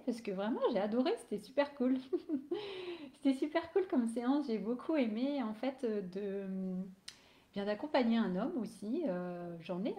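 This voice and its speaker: A woman's voice: mid-range voice, dark tone, echoey room. A woman with a cold who is losing her voice, an energy healer talking casually on a live stream, hesitant with many fillers and restarts.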